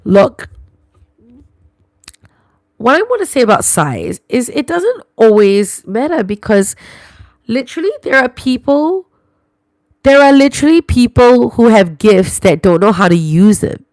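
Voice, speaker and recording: A woman's voice: on the high side, big pitch swings, a little slow, long pauses, darkish tone, dry recording, clean studio sound. A young woman with a Singaporean English accent, chatting casually on a livestream and reacting to viewer comments. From New Zealand and Singapore. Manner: chatty, reacts aloud to comments, sprinkles lah and lor. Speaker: livestream host.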